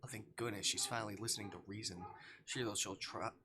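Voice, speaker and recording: A man's voice: on the low side, steady tone, quick pace, noisy background, natural voice. A man voicing a beleaguered, sarcastic restaurant worker in a visual novel, wry and indignant. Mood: wry muttering.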